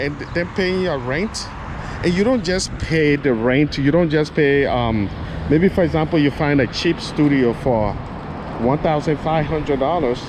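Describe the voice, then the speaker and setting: A man from Liberia with a Liberian accent, talking casually and loosely while walking outdoors for his vlog. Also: mid-range voice. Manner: loose and rambling, restarts often. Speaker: vlogger. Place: outdoors on city streets. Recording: street and traffic noise. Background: traffic and city street sounds.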